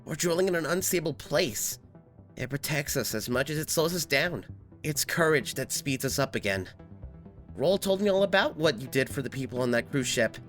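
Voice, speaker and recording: A man's voice: fairly high pitch, expressive, moderate pace, fairly bright tone, soft background music. A man voicing a brave, earnest young robot boy hero in a comic dub, encouraging and resolute. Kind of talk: dramatic comic-dub acting.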